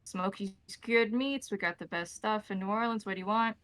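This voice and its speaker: A woman voicing a gruff, blunt, familiar smoked-meat shop man who works as a shady contact in a tabletop game. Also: mid-range voice, moderate pace, fairly bright tone, no room sound, close to an everyday voice. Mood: gruff, brusque.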